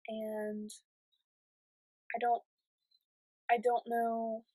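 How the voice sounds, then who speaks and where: A woman's voice: a little high, completely flat delivery, very slow, mellow, no room sound. A woman losing her voice, talking in a casual, reflective monologue, hesitant and often restarting her thoughts. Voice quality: husky, voice cracking in and out. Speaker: vlogger.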